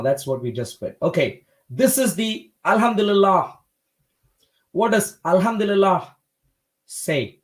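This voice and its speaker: A man from India hosting a debate livestream, talking in a measured, polite way with frequent hesitations. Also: on the high side. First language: not Hindi. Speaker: livestream host.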